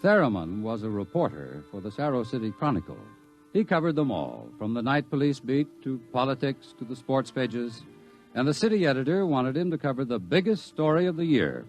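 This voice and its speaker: A man narrating a radio drama in a dramatic, scripted storytelling style. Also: mid-range voice. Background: faint music.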